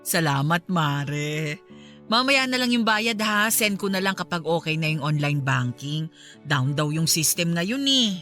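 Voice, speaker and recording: A woman's voice: low voice, expressive, a little slow, a bit bright, soft background music. A woman playing a suspicious middle-aged mother chatting conversationally in a radio drama, with a Filipino accent. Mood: casual.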